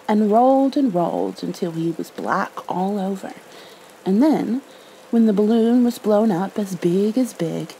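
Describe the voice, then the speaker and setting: A woman reading a storybook softly in ASMR style, with a gentle, motherly, soothing manner. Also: mid-range voice, gravelly, a little hiss or hum, rough recording. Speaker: ASMR roleplayer, bedtime story reader. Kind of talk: soft ASMR storybook narration.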